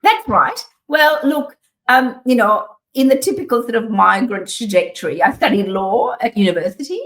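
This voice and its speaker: A woman's voice: a little high, expressive, normal speed. A middle-aged woman from Sri Lanka, talking as a guest over a video call from her office, chatty with frequent um fillers and laughs. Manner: warm, chatty, laughs often, many um fillers. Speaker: guest, community organisation CEO. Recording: echoey room sound.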